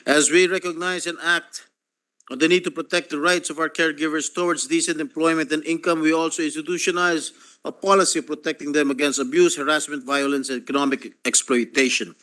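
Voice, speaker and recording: A man's voice: fairly high pitch, steady tone, a little fast, bright, forceful tone. A man in his sixties with a Filipino accent, a senator giving a formal sponsorship speech and reading out a numbered list, with asides in Tagalog. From Philippines. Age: over 60. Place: legislative chamber.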